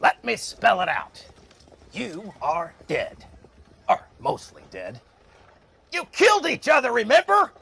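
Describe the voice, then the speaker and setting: A sardonic, put-upon guide of dead souls who likes his whiskey. His voice is rough and husky but sits fairly high for a man, with a forceful edge. It is audio drama, exasperated and menacing. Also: wildly swooping pitch, takes it slowly, pauses often, exaggerated voice. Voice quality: husky, gravelly. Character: gruff, whiskey-loving male soul escort, sarcastic and put-upon. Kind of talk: dramatic audio fiction acting.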